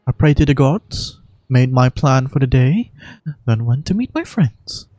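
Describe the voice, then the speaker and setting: A woman voicing an earnest young female guardian in a video game, speaking in a measured, formal, polite way. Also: a bass voice, sing-song, warm tone, dry recording, voice pushed down, heavily acted. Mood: thoughtful. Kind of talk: amateur video game voice acting.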